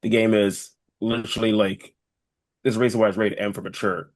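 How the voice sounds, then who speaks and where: Male podcast co-host, slightly low voice, emphatic and repetitive, casual, dry sound. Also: flat delivery, brisk, no echo.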